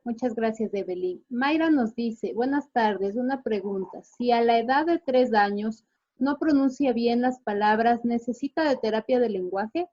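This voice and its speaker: A woman's voice: on the high side, normal speed, dark tone, highs cut off. A woman host who thanks the guest and reads out viewer questions.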